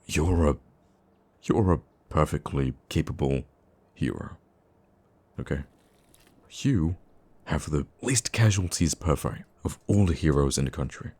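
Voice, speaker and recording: Man playing a male villain, rock-bottom pitch, gravelly fry, caring, wry and teasing, close ASMR roleplay. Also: full character voice. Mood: indignant, reassuring. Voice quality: deep, gravelly. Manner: soft-hearted, teasing, halting when flustered. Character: gruffly caring male supervillain comforting his nemesis. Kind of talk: intimate ASMR roleplay acting.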